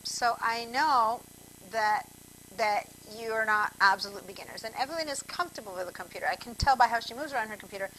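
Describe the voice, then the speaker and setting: Woman from argentina, teacher on a video call, bright tone, slightly high voice, chatty and rambling, faint background hiss. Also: a little fast, rough recording. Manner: chatty, digressive, addresses students directly. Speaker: computer class teacher. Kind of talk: online class instruction.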